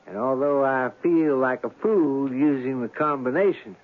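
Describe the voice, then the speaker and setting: Actor as genial radio storyteller, band-limited sound. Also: male voice, mid-range voice, moderate pace, narrow, tinny audio, close to an everyday voice. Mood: wry, reminiscing. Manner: conversational, reflective storyteller. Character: genial, wry male writer narrating his own tale. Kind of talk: old-time radio drama storytelling. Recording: band-limited old radio broadcast, faint music.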